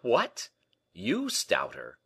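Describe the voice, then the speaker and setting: A man voicing a shrewd, brisk gentleman in an audiobook, animated, questioning and a little impatient. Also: mid-range voice, gravelly, husky, no echo, pitched above the performer's own voice, heavily acted. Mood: astonished. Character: D'Artagnan, brisk, shrewd, exclaiming gentleman friend. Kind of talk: audiobook character acting.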